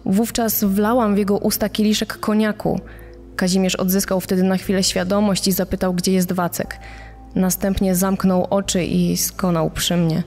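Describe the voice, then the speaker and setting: A woman's voice: mid-range voice, level tone, moderate pace, darkish tone, soft background music, lightly acted. An actress playing a bereaved wife and mother, grave and distressed, in a true-crime podcast dramatization. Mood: grieving, grave. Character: bereaved wife and mother recounting a mountain tragedy.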